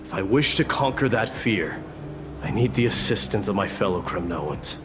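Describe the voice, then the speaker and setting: A man's voice: on the low side, lively intonation, normal speed, music in the background, lightly acted. A man playing a proud, stern warrior prince in a video game, speaking in a formal, grave, restrained way. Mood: solemn, resolute.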